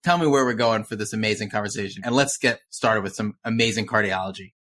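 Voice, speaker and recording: A man's voice: fairly low pitch, fast, bright, forceful tone. A man co-hosting a podcast, chatting in a friendly way with the guests. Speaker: podcast co-host.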